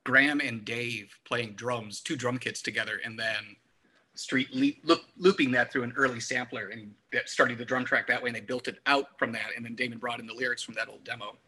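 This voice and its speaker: A man's voice: medium pitch, steady tone, fairly quick, bright, forceful tone, a little hiss or hum. A man co-hosting a music discussion, explaining things in an orderly, informed way. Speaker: podcast co-host.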